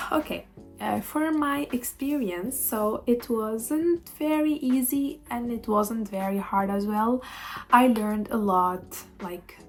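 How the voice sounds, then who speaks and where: A young woman, telling a casual storytime vlog with many pauses and fillers. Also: on the high side, soft background music. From Tunisia. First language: Arabic. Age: 20s. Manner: hesitant, many fillers and restarts. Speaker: vlogger.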